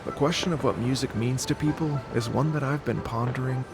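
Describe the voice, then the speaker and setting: A man's voice: mid-range voice, a little fast, music in the background, lightly acted. A man playing an earnest, grateful festival showman in a video game, heartfelt, polite and thoughtful.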